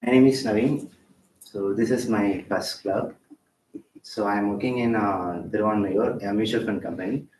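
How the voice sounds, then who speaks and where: A man's voice: on the low side, fairly even intonation, normal speed, a little mellow, slight background noise. A man introducing himself briefly at a speaking club in short, plain sentences. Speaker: guest. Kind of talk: self-introduction at club meeting. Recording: echoey hall, distant mic.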